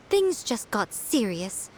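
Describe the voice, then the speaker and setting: A woman's voice: a little high, very animated, drawn-out words, heavily acted. A woman voicing a sly, self-assured fox spirit shrine priestess in a video game, poised, teasing and condescending. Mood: displeased. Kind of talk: video game character voice acting. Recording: slightly noisy game capture.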